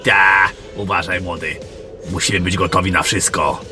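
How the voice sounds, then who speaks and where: A man playing a gruff, wary veteran general who mentors a young warrior in a fantasy audio drama. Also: low voice, little pitch movement, normal speed, pushed and bright. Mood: wary, commanding. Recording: music under the voice.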